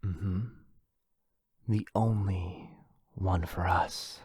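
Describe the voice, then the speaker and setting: Casting: male actor as clingy, wounded vampire lover, low voice, close dry sound, brooding intensity, roleplay audio. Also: fairly even intonation, very slow, a little mellow, slightly rough, no room sound, full character voice. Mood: clingy, pleading. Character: needy, wounded, clingy yandere vampire brother. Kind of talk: boyfriend ASMR roleplay audio drama.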